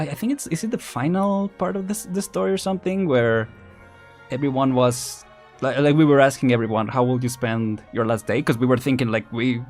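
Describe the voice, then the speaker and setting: A man's voice: medium pitch, darkish tone. Hesitant, rambling male streamer over music. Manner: hesitant, rambling, often repeats words and restarts. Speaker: streamer, vtuber host. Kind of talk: casual livestream commentary, chatting with viewers.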